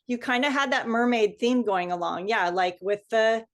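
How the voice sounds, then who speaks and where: A woman hosts a relaxed interview, asking friendly questions and laughing easily with her guest. Her voice sits a little higher than usual. She speaks over a video call. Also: moderate pace. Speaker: host. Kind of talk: casual interview.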